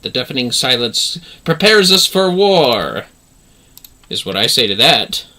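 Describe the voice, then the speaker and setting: A man's voice: medium pitch, sing-song, measured pace, bright, forceful tone. A man livestreaming a video game, giving casual running commentary as he plays.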